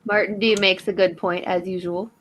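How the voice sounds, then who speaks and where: A woman's voice: mid-range voice, fairly even intonation, moderate pace, over a noisy crowd. A woman co-hosting a casual live-stream podcast from home, chiming in with short comments. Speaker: podcast co-host. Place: home room, on video call.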